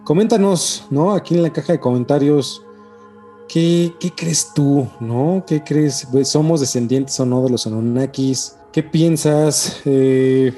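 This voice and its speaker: A man's voice: a little high, slow pace, dark tone, soft background music. A man with a Mexican accent co-hosting a podcast, talking casually in slang and often tagging questions onto his statements. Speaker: podcast co-host. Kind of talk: casual conspiracy podcast chat.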